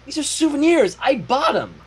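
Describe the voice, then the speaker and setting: A man's voice: very high voice, wildly swooping pitch, moderate pace, voice pushed up, full character voice, poor audio. A man voicing an eager, defensive little boy sidekick from a cartoon, in a story reading. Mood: earnest, defensive.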